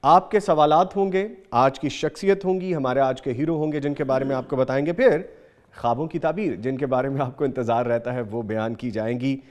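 A man in his thirties presents a morning show from a TV studio, his voice pitched in an ordinary middle range. He speaks formally and earnestly, and he addresses his guests with courtesy. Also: a little fast, a little mellow. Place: TV studio set.

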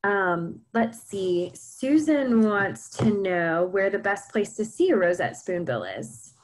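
A woman on a video call reads out viewers' questions in a plain, steady way, then adds a few remarks of her own. Her voice is mid-pitched and unremarkable. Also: slow pace, low-quality recording. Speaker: webinar co-host reading audience questions.

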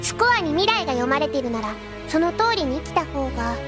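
A woman's voice: high voice, very animated, brisk. A woman acting a cute, spirited young girl attendant in an anime audio drama. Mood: hesitant. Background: faint background music.

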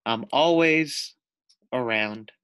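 Man as a calm, unhurried little lizard. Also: medium pitch, smooth, no room sound. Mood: calm, instructive. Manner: slow, measured. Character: small male lizard, calm, unbothered teacher of stillness.

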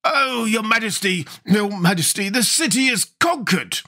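A man's voice: a little high, lively intonation, moderate pace, bright, forceful tone, pitched above the performer's own voice. A man voicing a timid, foolish bearded soldier in a storybook. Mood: panicked. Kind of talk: storybook character voice.

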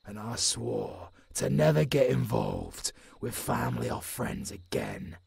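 A man with a British accent voicing a gruff, haunted old werewolf warrior in an audio roleplay, with a husky growl and a brooding delivery that drops toward a whisper. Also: mid-range voice, huge pitch swings, a little mellow, no room sound, exaggerated voice. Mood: bitter, weary. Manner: slow, brooding, half-whispered with sudden gruff rises. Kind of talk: dramatic ASMR audio roleplay.

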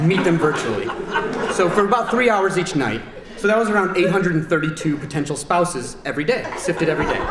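A man in his thirties reading a humorous wedding toast from paper in a dry, deadpan way, in a large banquet hall. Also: on the high side, background noise. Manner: dry, deadpan delivery over audience laughter. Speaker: wedding toast giver, friend of the groom. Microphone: handheld mic held low. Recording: roomy hall from a distant camera with crowd. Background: wedding guests laughing.

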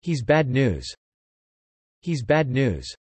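A male narrator reads English vocabulary and example sentences for learners. His pitch moves around a lot as he repeats each line steadily. The sound is dry, with no room around it. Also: big pitch swings, very slow, a little mellow, slightly rough, no room sound. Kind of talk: reading example sentences and definitions aloud.

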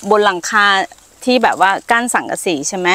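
A middle-aged woman giving an unhurried, explanatory walk around her farm, pointing out trees and their uses, with hesitant fillers. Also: medium pitch, animated, sharp tone, slight background noise. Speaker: farm owner showing trees. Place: outdoors on a farm among trees.

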